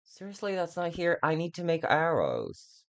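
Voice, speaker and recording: A man giving casual game playthrough commentary in a halting, self-deprecating way, swearing freely. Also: a little high, wildly swooping pitch. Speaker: game streamer.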